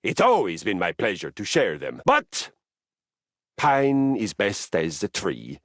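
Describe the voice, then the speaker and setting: An actor playing a passionate, sentimental, violence-loving Viking warrior in a video game, with grandiose archaic phrasing and dramatic pauses. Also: male voice, lowish voice, wildly swooping pitch, a bit bright, no room sound, studio quality, heavily acted. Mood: wistful. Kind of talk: theatrical video game character acting.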